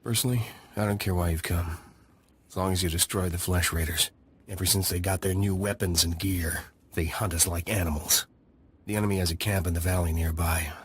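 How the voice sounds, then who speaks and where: A man's voice: sits low, steady tone, a little fast, slight background noise, close to an everyday voice. A man voicing a blunt alien scout chief who is eager to take revenge on raiders, in a studio video game cutscene. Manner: blunt, gruff.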